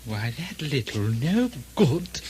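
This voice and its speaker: A jealous tyrant in a radio farce, sardonic and melodramatic. His voice leaps wildly in pitch, with faint noise behind it. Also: very animated, slow, darkish tone. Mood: scheming. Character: Macbeth, scheming jealous comic tyrant husband, sarcastic. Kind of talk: comic radio drama acting.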